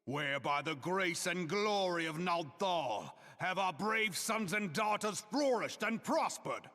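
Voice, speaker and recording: Forceful, echoing fantasy orator. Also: male voice, sits high, animated, takes it slowly, full character voice. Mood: proud, stately. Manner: grandiloquent, declamatory, archaic diction. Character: proud, solemn military statesman rallying a desert nation. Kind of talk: theatrical public oration in a fantasy game. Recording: long echoing hall reverb.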